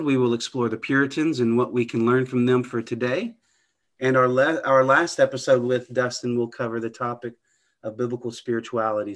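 A man hosts a podcast interview in a friendly tone. He often stops and restarts his phrases. His voice sits in a middle pitch. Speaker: podcast host.

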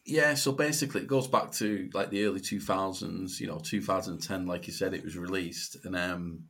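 A man from Cumbria with a Northern English accent, a musician answering interview questions in a halting, informal, conversational way. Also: deep voice, a bit bright, slightly rough. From Cumbria, England. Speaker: guest musician.

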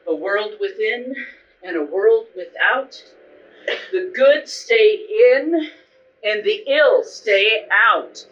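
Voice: mid-range voice, expressive, slow pace, fairly bright tone. A person leading a group ritual as seance leader, recorded dull and distant in a roomy space. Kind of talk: guided ritual, incantation.

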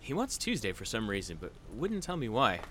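An actor playing a fussy, flustered office colleague, brisk and exasperated, in a 1940s-style radio comedy. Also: male voice, mid-range voice, wildly swooping pitch, background noise.

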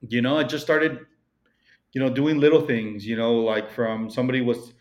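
A man's voice: medium pitch, fairly even intonation, normal speed. A man with a Mexican accent, a real estate broker talking as a guest in a casual interview, rambling with many fillers and restarts.

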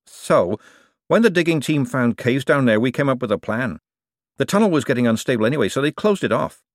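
Brisk woman as a man reads her, storybook character, insistent, self-assured, higher-pitched, close dry sound. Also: expressive, no echo, lightly acted. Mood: careful, defensive. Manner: explanatory, insistent. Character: brisk, stubborn, self-assured young woman explaining herself.

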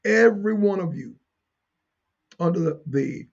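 A man preaching a teaching sermon in an emphatic, deliberate way, repeating key phrases and posing rhetorical questions to his listeners. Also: sits high, lively intonation, vocal fry, a little mellow, dull audio.

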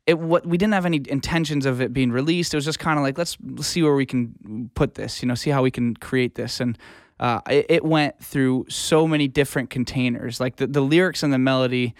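A man's voice: medium pitch, brisk, dry recording, clean studio sound. A man speaking casually as a music producer being interviewed, explaining things informally with examples. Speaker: music producer and audio engineer guest.

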